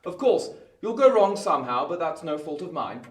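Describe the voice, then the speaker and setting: Man acting a brisk, commanding lawyer, echoey room. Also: a little high, very animated, far from the mic. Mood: brisk, stern. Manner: clipped, commanding, dismissive. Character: brisk, authoritative London lawyer, middle-aged man. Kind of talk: radio play acting of a Victorian novel.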